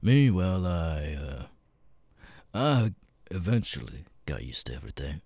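A man voicing a gruff, jaded cat demon bartender in an ASMR roleplay, muttering and swearing wearily with hesitant pauses in a deep, gravelly rasp. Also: sing-song, darkish tone, no echo, heavily acted. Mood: weary, resigned.

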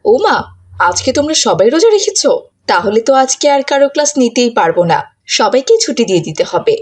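Cartoon madam acted by a woman: kindly, firm teacher, fairly high husky voice, instructs children. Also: very animated, fairly quick. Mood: surprised, indulgent. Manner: instructive, questioning. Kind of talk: cartoon dialogue acting.

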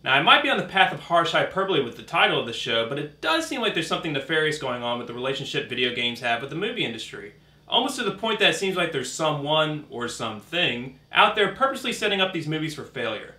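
A man's voice: fairly high pitch, very animated, fast talker, bright, forceful tone, husky. A young man delivering a scripted video essay in a wordy, articulate, opinionated way as host and critic. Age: young adult.

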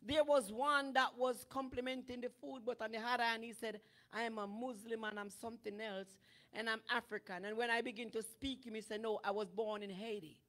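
A woman's voice: a little high, fairly quick. Woman preaching a fiery sermon. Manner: animated preaching that builds to shouting. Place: church sanctuary with draped stage. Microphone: handheld microphone.

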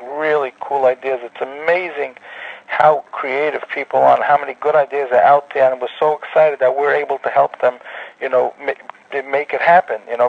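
A man who organizes an expo, answering interview questions in a halting, casual way. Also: mid-range voice, vocal fry. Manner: halting, many fillers and restarts. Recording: dull lo-fi interview recording.

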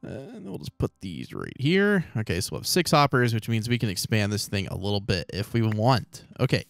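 A man casually commenting on his own gameplay, rambling and correcting himself as he goes, his voice a little hoarse from recording for a long time. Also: lowish voice, very animated, fairly quick, no echo, clean studio sound. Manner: rambling, self-correcting, chatty. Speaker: Let's Play creator.

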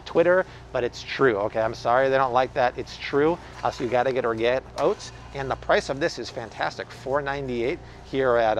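A man in his thirties gives a punchy, enthusiastic walk-through of a supermarket's shelves, talking straight to his viewers. He speaks through a face mask close to a handheld camera, and a faint store hum sits under his mid-pitched voice. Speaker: food vlogger. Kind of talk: casual grocery haul commentary. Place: large supermarket aisle. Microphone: handheld camera close up, wearing face mask.

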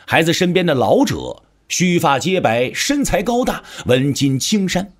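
Husky, highly animated male storyteller. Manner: storyteller's measured, expressive delivery. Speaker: audiobook narrator. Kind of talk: dramatic scripted narration of a martial-arts novel. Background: background music.

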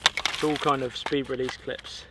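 A man in his thirties from the UK, chatting casually and excitedly to camera while setting up gear outdoors. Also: medium pitch, unhurried. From United Kingdom. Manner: upbeat, chatty, slangy. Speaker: outdoor vlogger. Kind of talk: casual show-and-tell to camera. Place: windy pebble beach outdoors. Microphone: camera mic outdoors. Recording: wind noise on outdoor mic. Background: wind and shore ambience.

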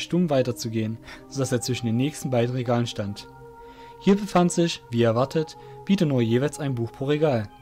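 A man's voice: mid-range voice, darkish tone. A man narrating a scripted fantasy story as the narrator of an audio drama.

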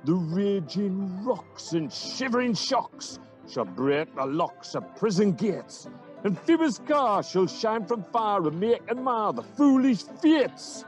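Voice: mid-range voice, very animated, slow pace, soft background music. An actor playing a bumptious, eager weaver and amateur player in a Shakespearean radio play, pompous and theatrical in delivery. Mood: grandly declaiming.

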